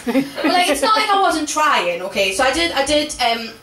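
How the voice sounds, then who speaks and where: A woman's voice: high voice, very animated, moderate pace, fairly bright tone, recorded from a distance. A woman in her thirties with a Geordie accent, doing cheeky, self-mocking stand-up comedy and chatting with the audience at a live venue. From Newcastle, England. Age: 30s. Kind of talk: stand-up comedy with crowd work. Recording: echoey room, from afar, noisy.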